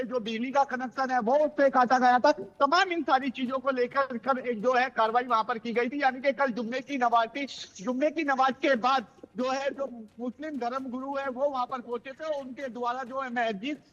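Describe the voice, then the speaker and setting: A man's voice: very high-pitched, a bit bright. A man giving a wordy, repetitive, unscripted live news report as a correspondent. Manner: wordy, repetitive with verbal tics. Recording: dull lo-fi live link, reverberant.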